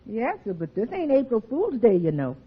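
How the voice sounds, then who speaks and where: An actress playing an earnest, outspoken housekeeper who insists emphatically in a 1940s radio comedy. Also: female voice, fairly high pitch, huge pitch swings, normal speed, slightly rough, full character voice. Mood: dry, questioning. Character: earnest, outspoken female housekeeper, stubbornly repetitive. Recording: muffled 1940s radio recording.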